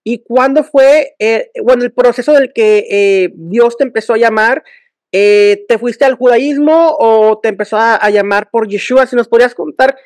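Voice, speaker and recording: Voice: mid-range voice, moderate pace, darkish tone, dry recording. An interviewer asking a long, rambling question that halts on fillers. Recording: dry close sound.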